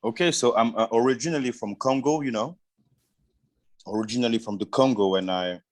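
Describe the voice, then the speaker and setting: A man from Switzerland, a native French speaker with a French accent, answering interview questions casually and haltingly with constant verbal tags. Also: medium pitch, dry recording. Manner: halting, repeats "you know" constantly. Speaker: interview guest, businessman.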